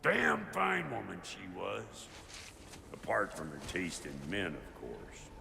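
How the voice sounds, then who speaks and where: A wry mourner, very gravelly and swinging widely in pitch. He talks slowly and fondly in a game drama, with long reverb and loud music behind him. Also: wildly swooping pitch, heavily acted. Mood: wry, wistful. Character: wry man fondly mourning a woman he admired. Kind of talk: dramatic video game cutscene acting.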